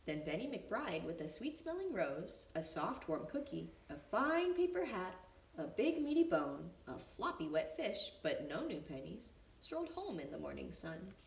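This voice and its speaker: A woman reading a storybook aloud for young children as a preschool teacher, with expressive, deliberate phrasing. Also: on the low side, vocal fry. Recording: echoey room, distant mic, faint noise.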